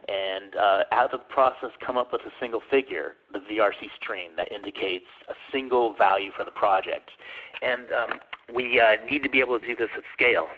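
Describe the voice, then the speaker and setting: Man, low voice, halting delivery, phone-quality audio. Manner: hesitant, many ums and uhs. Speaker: webinar presenter. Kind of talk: answering questions in a webinar. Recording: tinny phone-line audio.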